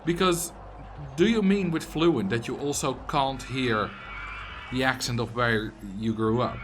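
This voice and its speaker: A man's voice: medium pitch, measured pace, background noise. A man with a Dutch accent, a streamer chatting casually and answering chat messages while he plays a game. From Netherlands.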